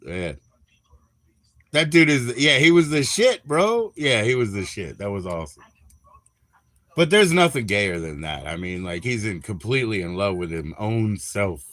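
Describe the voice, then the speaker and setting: A man's voice: lowish voice, big pitch swings, normal speed, fairly bright tone, no echo. A man from Minnesota hosting a live stream, chatting casually in a crude, joking, rambling way. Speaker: livestream host.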